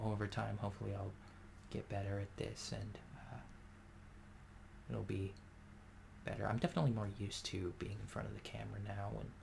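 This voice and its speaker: A man rambling in a soft-spoken ASMR style, filling his talk with pauses and fillers. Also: fairly low pitch, vocal fry, a little hiss or hum. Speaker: ASMR creator.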